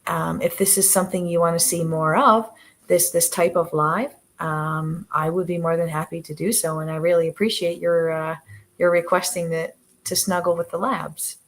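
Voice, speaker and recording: A woman hosting a casual livestream from her living room, talking gently with a soft voice that trails off and plenty of hesitant restarts. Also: lowish voice, fairly even intonation, moderate pace. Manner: hesitant, many fillers and restarts. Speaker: livestream host with her dogs. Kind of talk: casual live chat to viewers. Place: living room, on the sofa with dogs.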